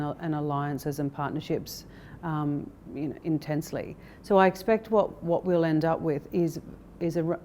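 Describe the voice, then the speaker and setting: A woman speaking as a company director in a corporate interview, explaining things in a measured way. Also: low-pitched, warm tone, a little hiss or hum. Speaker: interviewee, managing director of an investment firm.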